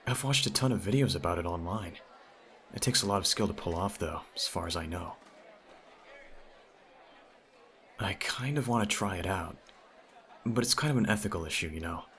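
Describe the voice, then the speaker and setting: A man's voice: deep voice, animated, brisk, a bit bright. A man playing a teasing, smitten teenage childhood friend in an ASMR audio roleplay, talking straight to the listener in a conversational way. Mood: shy, fascinated.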